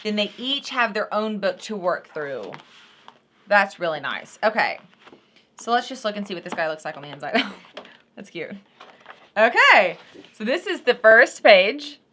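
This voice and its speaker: A woman with a bright, forward voice at an average pitch hosts an unboxing vlog. She chats casually and without a script, speaking straight to her viewers in folksy, informal language. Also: very animated, a little slow, long pauses, a little hiss or hum. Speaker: host of unboxing and review vlog. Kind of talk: casual unscripted product review chat.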